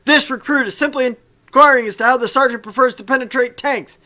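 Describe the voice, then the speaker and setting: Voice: high-pitched, quick pace, sharp tone. A performer voicing a nervous, cheeky young male army recruit in a video game, speaking in formal soldier phrases. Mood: mock innocent.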